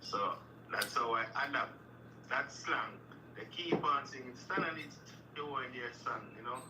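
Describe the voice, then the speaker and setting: A man, a guest artist talking on a video call in a relaxed way, often saying "you know". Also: mid-range voice, unhurried. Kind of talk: casual interview over a video call. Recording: echoey, distant, noisy call line.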